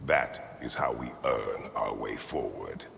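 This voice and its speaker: An actor playing a stern, brooding, battle-worn Spartan warrior god in a video game, speaking tersely and solemnly. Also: male voice, very deep voice, lively intonation, slow pace, gravelly, noisy background, exaggerated voice. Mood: stern, guarded. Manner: terse, solemn, formal phrasing. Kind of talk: dramatic video game acting. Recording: cavernous echo, loud music underneath.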